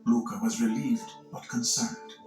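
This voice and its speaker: A man narrates a children's storybook with expressive, dramatic delivery. His mid-pitched voice carries a noticeable echo, with music playing clearly behind it. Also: unhurried. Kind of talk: scripted children's read-along narration. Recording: echoey narration over music.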